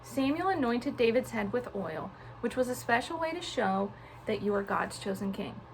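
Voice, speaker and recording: A young adult woman reading a storybook aloud to children in an informal, animated way. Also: fairly high pitch, moderate pace, a little hiss or hum, poor audio. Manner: casual, child-directed, animated on dialogue.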